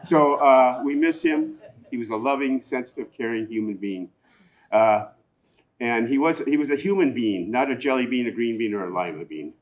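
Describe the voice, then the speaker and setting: An older man speaking at a memorial, reflective and warmly humorous as he remembers a friend. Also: medium pitch, normal speed, lo-fi sound, background noise. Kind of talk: eulogy at a memorial.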